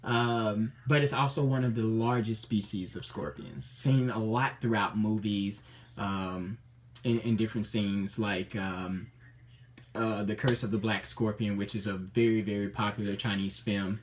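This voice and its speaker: A man telling the stories behind his tattoos in a casual, upbeat way, often pausing on ums and restarting his sentences. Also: on the low side, steady tone. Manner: chatty and upbeat, many ums and self-restarts. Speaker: interviewee showing his tattoos. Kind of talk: casual personal account to camera.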